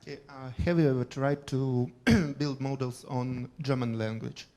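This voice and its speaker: A man from the audience asking a question at a conference, pausing often between words. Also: mid-range voice, steady tone, mellow. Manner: hesitant, many pauses between words.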